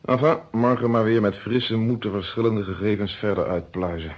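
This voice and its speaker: A composed, principled male police inspector acted by a man in an old radio play. His voice is a little husky and his phrasing is formal, friendly but firm. The recording is dull and band-limited. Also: fairly low pitch, steady tone, lightly acted. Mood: relieved, weary. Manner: measured, courteous, authoritative, formal wording. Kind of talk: old radio drama acting.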